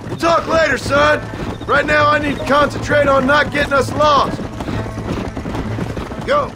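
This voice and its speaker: An actor playing a gruff, weary outlaw turned rancher father, terse and dry, with an American Western drawl. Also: extremely high pitch, flat delivery, unhurried, over music. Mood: earnest, weary. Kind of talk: video game dialogue acting.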